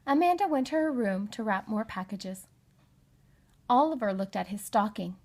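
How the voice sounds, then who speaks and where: A woman narrating a children's storybook in an expressive read-aloud style. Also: mid-range voice, moderate pace. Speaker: storybook reader.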